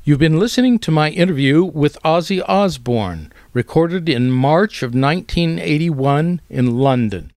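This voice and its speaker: A man's voice: fairly high pitch, animated, unhurried, hardly any pauses, darkish tone, no room sound, studio quality. A man with an American accent, reading a scripted narration as the host of an interview series. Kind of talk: scripted narration, programme sign-off.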